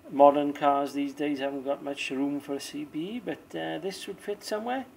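A man giving a casual hands-on demonstration in an unhurried, plain-spoken way. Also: on the high side, creaky, a little mellow, rough recording. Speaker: presenter of a radio demonstration video. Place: small indoor room or shed.

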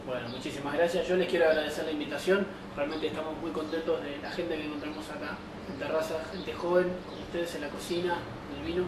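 High-voiced young Argentine male host. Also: steady tone, fast, hardly any pauses. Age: young adult. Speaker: cooking show host. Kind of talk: unscripted cooking show presenting. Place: winery kitchen and open-air patio. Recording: echoey, distant mic, noisy ambience.

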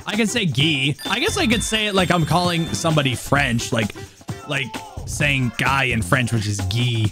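A man's voice: medium pitch, normal speed. A man in his thirties on a headset, chatting casually and playfully while speedrunning a game, muttering little nonsense syllables as he plays. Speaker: speedrunner streaming. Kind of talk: casual live-stream chat while playing. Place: home room in front of a webcam. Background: game music.

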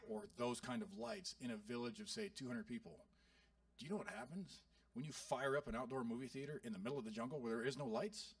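A male pastor in his thirties giving an animated, joking sermon to his congregation. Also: medium pitch, gravelly, no echo, recorded from a distance. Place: church sanctuary.

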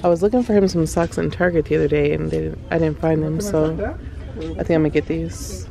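A woman's voice: sits low, fairly even intonation, a little fast, creaky, crowd noise. A woman in her thirties vlogging casually, talking directly and familiarly to her viewers. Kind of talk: casual chatty vlog. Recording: music behind the voice. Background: background music.

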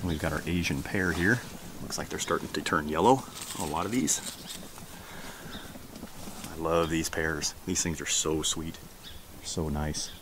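A middle-aged American man with an exceptionally deep voice talks casually as he shows a garden. His delivery is unhurried and rambling. The audio comes from a handheld camera outdoors, with clear ambient noise behind him. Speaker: homesteading vlogger. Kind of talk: casual garden tour vlog. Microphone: camera held at arm's length.

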